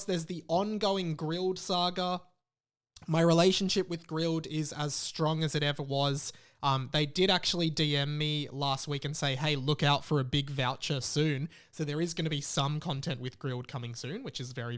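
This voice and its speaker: A man's voice: on the high side, a bit bright. An Australian man hosting a podcast with casual, comedic chat in a rambling, joking way. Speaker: podcast host.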